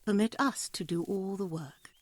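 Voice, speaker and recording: A woman's voice: mid-range voice, moderate pace, no echo, close to an everyday voice. A woman voicing a bold, wily tavern seductress in an audio play, coaxing in formal archaic English. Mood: enticing.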